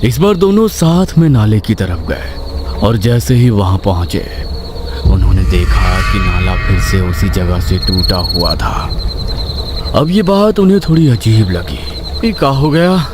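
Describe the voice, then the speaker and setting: Slow, low-voiced male horror narrator. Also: animated, noisy background. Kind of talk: scripted suspense narration. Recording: loud night ambience with crickets.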